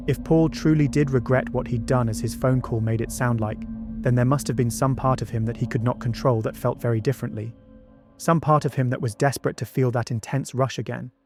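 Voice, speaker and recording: A man narrating a true-crime documentary from a script, with conversational asides. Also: mid-range voice. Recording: music bed behind the voice. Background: background music.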